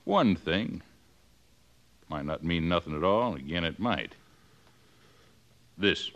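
An actor playing a weary middle-aged town sheriff who talks in a drawling, plainspoken way in a 1950s radio western. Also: male voice, low-pitched, lively intonation, takes it slowly, a bit bright, muffled recording, exaggerated voice. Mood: plain. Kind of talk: 1950s radio drama acting.

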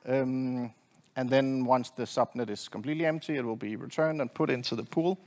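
A middle-aged man giving a technical presentation from a conference stage in a halting, hesitant way. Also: medium pitch, steady tone, a little mellow. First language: not Swedish. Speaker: conference presenter, network engineer. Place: conference stage at a lectern, indoors.

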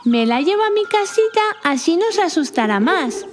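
A woman's voice: extremely high pitch, sing-song, moderate pace. A woman voicing a cheerful, kind little witch girl in a children's cartoon. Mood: delighted. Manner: bright, exclamatory, childlike. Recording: loud music behind the voice.

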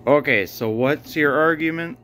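A man's voice: a little high, moderate pace. A man speaking casually and bluntly as a bossy home mechanic, troubleshooting and giving orders with some swearing. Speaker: home mechanic filming a garage video. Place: indoors in a cluttered home garage. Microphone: handheld phone camera, speaker behind it. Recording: noisy garage with loud idling engine. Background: idling car engine.

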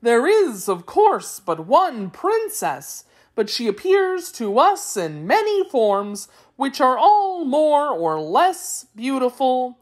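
A woman voicing a fearful, eager male wheeled creature from a children's storybook, pleading and excitable. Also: high-pitched, takes it slowly, hardly any pauses, pitched above the performer's own voice, exaggerated voice. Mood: earnest.

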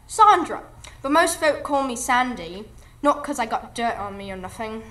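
A woman's voice: a little high, expressive, moderate pace, fairly bright tone. A woman playing a cheeky, chatty working-class London girl in a radio drama. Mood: chatty, artless. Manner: rambling, artless, colloquial. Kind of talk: live radio drama acting. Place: live stage before an audience.

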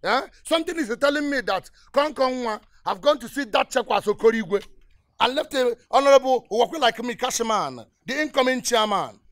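A boastful, blustering village big man, bragging about his money. His voice sits high for a man, recorded close and dry. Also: expressive, normal speed, fairly bright tone, no room sound. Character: boastful rich male village politician.

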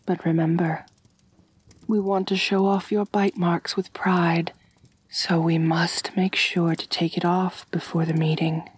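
A woman playing a refined, kind but possessive vampire mistress in a soft, intimate ASMR roleplay, gentle and doting, often near whispering. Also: on the low side, flat delivery, unhurried. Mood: doting, soothing. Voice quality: breathy.

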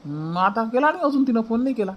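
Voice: mid-range voice, big pitch swings, quick pace, lo-fi sound. A performer voicing a grudging village husband who repeats himself, in an amateur cartoon family comedy, with a Varhadi Marathi accent. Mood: grudging, placating.

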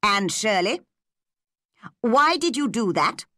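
A woman's voice: on the high side, sing-song, slow pace, sharp tone, no room sound, clean studio sound, pitched above the performer's own voice, full character voice. A woman voicing a stern, unfair male village schoolteacher in a storybook, firm and scolding.

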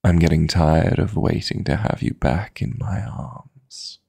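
A man playing a possessive, teasing dream demon lover in intimate sleep-aid roleplay audio, coaxing softly and deliberately in a gravelly, breathy voice. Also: very deep voice, exaggerated voice. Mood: tender, flirty.

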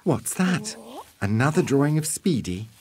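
A man voicing a kindly, mild-mannered grown-up in a cartoon palace, gentle and encouraging. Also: mid-range voice, big pitch swings, normal speed, breathy edge. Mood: curious.

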